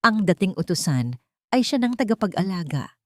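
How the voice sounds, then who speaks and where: A woman's voice: mid-range voice, big pitch swings, normal speed, a little mellow, no room sound, studio quality. A woman with a Filipino accent narrating a scripted love story in a dramatic storytelling style.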